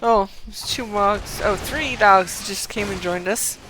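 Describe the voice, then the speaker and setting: A woman casually commentating on her gameplay as a streamer, murmuring reactions and reading dialogue aloud a little haltingly. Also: mid-range voice, background noise. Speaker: game streamer.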